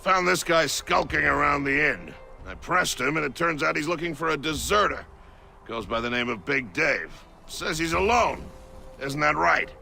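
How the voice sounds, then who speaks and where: A man playing an excitable, rough frontier settler in a video game, talking in an animated, colloquial way. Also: a little high, normal speed, a little hiss or hum, heavily acted. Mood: pressing, wary. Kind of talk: video game dramatic acting.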